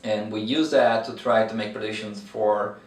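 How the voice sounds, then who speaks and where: A man's voice: fairly low pitch, steady tone, moderate pace, recorded from a distance. A man in his thirties from Brazil with a Brazilian accent, explaining his veterinary research in an interview with many fillers and restarts. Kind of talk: interview answers. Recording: roomy echo, mic from afar.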